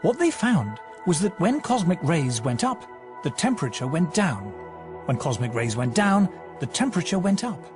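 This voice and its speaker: Slow, lively documentary narrator over music. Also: male voice, a little high. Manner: measured, deliberate delivery.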